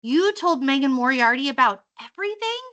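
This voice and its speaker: A woman reading the part of an angry, hurt young schoolboy in a children's storybook, blunt and sharp. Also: sits high, expressive, brisk, voice pushed up. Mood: accusing.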